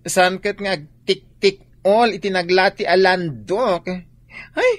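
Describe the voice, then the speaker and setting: A woman's voice: medium pitch, slow pace. A woman with an Ilocano accent acting a timid, plaintive, effeminate son in a radio drama. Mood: subdued, reluctant. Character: tearful, timid effeminate son, mistreated by father.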